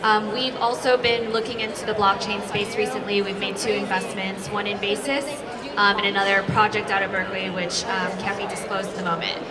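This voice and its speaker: A woman's voice: medium pitch, little pitch movement, a little fast. A woman investor introducing herself and her fund's focus as a panelist. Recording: echoey hall, distant mic, crowd.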